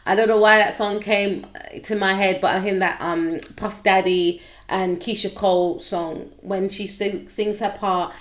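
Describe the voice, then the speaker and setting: A woman with a slightly croaky voice giving a chatty tarot reading straight to her viewers, often checking in with them. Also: mid-range voice, fairly even intonation, a little slow. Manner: chatty, repetitive, addresses viewer directly. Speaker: tarot reader. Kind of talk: casual tarot reading to camera.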